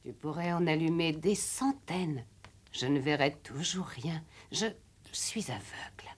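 A woman voicing a gentle, frail old grandmother in a French cartoon dub, soft, tender and resigned. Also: sits low, measured pace, slightly rough. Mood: resigned sadness. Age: older. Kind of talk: French animated series dub.

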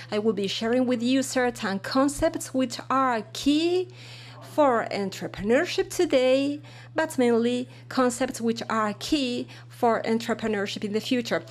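High-voiced woman interpreting a keynote. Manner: halting, with fillers and frequent restarts. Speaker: simultaneous interpreter. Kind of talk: live interpretation of a keynote talk.

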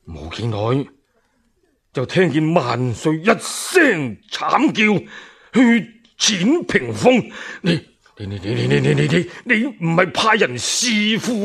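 A man's voice: mid-range voice, creaky, fairly bright tone, slightly rough, full character voice. A man voicing an indignant, righteous old loyal minister in a radio storytelling drama, declaiming and stammering with outrage. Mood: outraged.

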